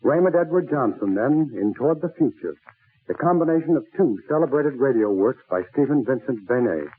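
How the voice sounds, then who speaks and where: An American man announcing in a formal 1940s radio style, solemn, measured and oratorical, on an old broadcast. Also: medium pitch, animated, narrow, tinny audio, noisy background.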